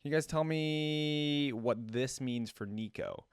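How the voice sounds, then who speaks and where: A man's voice: a little high, slow pace, clear, resonant tone, no room sound. Man chatting casually, dry close sound. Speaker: reaction video host. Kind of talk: casual chat reacting to game voicelines.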